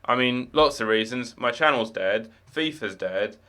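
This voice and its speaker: A man's voice: medium pitch, lively intonation, a little slow, bright, forceful tone. A young man with no marked accent, chatting casually while live-streaming a game, rambling with many fillers and restarts.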